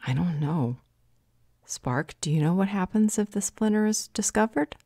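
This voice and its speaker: A woman plays a strong-willed female vampire in an audio roleplay. Her voice is low and mellow, recorded close and dry, with a measured, thoughtful delivery that has a dry edge of authority. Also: lively intonation, no room sound. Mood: puzzled, analytical. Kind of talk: roleplay audio drama acting.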